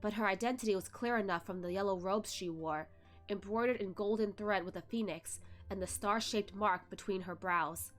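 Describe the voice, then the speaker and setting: A woman hosting a livestream, narrating a web novel in a steady storytelling way. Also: medium pitch, moderate pace, faint music behind. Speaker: channel host reading a novel. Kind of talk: read-along narration.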